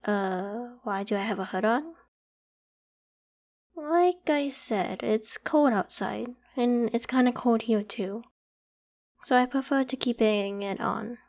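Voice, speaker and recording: A woman voicing a polite, protective young female werewolf with a secret, in a gentle, reassuring ASMR roleplay. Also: a little high, takes it slowly. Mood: evasive, nervous. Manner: gentle, reassuring, hesitant when evasive. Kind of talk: ASMR roleplay, acted one-sided conversation.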